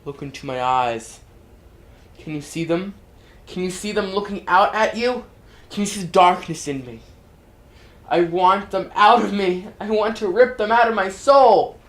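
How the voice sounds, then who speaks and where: Woman acting a slow, anguished, demon-tormented soul. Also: medium pitch, natural voice. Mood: anguished, confessing. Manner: slow, anguished, swinging from hushed to loud pleading. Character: tormented young woman possessed by demons, desperate, despairing. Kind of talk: dramatic monologue reading. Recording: roomy echo, music underneath.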